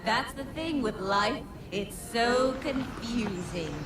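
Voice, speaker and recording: A woman's voice: on the high side, expressive, slow pace, distant mic. A woman playing a seductive, mocking illusionist villainess in a video game, with theatrical, toying delivery. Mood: gloating. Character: taunting seductive illusion-casting concubine villainess. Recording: echoing voice, loud background noise.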